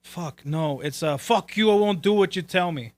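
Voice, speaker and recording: Higher-pitched casual man from Puerto Rico. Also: normal speed. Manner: casual, profane. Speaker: talk show host. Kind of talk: casual chat.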